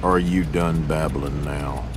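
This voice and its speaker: A man voicing a big bullying tow truck in an animated game, drawling in a folksy Southern US accent. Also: extremely low pitch, slow. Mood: impatient. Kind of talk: animated video game voice acting. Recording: music behind.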